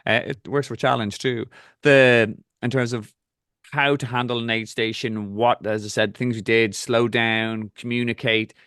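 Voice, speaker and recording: A man's voice: mid-range voice, flat delivery, a bit bright, clean tone, dry recording, clean studio sound. A man with an Irish accent, a triathlon coach hosting a casual talk and giving direct, practical advice. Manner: direct, instructive, rapid asides.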